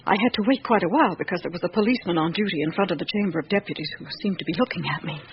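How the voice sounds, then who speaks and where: A woman's voice: lowish voice, rapid-fire, natural voice. Woman playing a hesitant elderly female servant. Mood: confessing, weary. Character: loyal elderly female servant of a count, troubled. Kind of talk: radio drama acting. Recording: muffled, noisy old radio recording.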